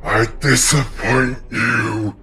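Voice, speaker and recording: Very slow, menacing plant monster. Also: male voice, medium pitch, fairly even intonation. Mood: menacing, defiant. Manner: slow, drawn-out, declamatory. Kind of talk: audio drama acting. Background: loud music.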